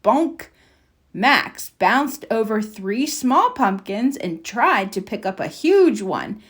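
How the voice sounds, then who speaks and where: A woman's voice: a little high, big pitch swings, takes it slowly, a bit bright. A woman reading a children's storybook aloud expressively, with playful sound effects. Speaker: storybook reader.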